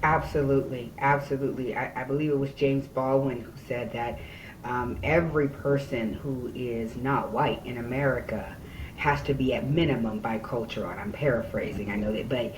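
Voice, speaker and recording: A woman's voice: rumbling low, fairly even intonation, normal speed. A woman hosting a podcast interview, talking earnestly and conversationally as she shares personal stories and asks questions. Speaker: podcast host. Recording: distant mic, faint background noise.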